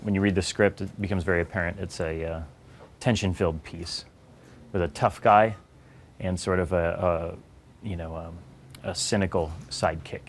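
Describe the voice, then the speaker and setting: Ambient noise sits clearly behind a low, fry-heavy male voice. The man is an audio director explaining his work in an interview. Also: fairly even intonation, moderate pace.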